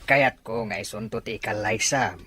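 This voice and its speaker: A man playing an elderly, insistent matchmaking grandfather in a radio drama, with a persuasive, expressive delivery. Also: lowish voice, normal speed, slightly rough.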